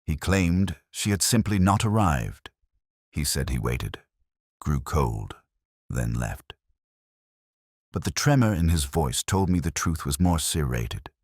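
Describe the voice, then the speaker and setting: An extremely low-voiced man narrates a detective tale in the first person as a melancholy investigator. His delivery is measured and literary, with lively rises and falls in pitch. The recording is dry, with no room sound around the voice. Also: dry recording. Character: melancholy, reflective male police detective telling his own case.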